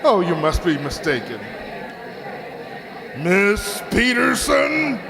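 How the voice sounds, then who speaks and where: A man playing an excitable, exasperated boss in an old-time radio comedy, blustering and exclaiming. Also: high voice, slow pace, heavily acted. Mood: distraught. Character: excitable, exasperated male boss who owns a racehorse. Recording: crowd noise behind.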